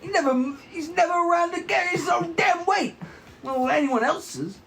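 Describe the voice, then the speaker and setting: A man's voice: extremely high pitch, animated, moderate pace, vocal fry, a crowd in the background, heavily acted. A man playing a hot-headed, foul-mouthed young tough with a mixed Australian and Brooklyn accent at a table read. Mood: irritated. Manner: combative, swearing, shouting. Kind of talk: amateur script table read.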